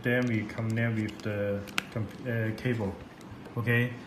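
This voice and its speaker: A man's voice: fairly low pitch, little pitch movement. Man giving a tutorial in a noisy echoey room. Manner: halting, repetitive non-native English. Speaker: product demonstrator for a radio seller. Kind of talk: step-by-step software tutorial. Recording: echoey room, loud background noise.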